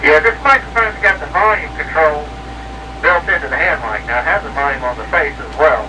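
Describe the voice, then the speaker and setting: A man's voice: a little high, brisk. Man chatting over noisy, distant CB radio. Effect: CB radio transmission. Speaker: amateur CB radio operator. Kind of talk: casual chat over CB radio.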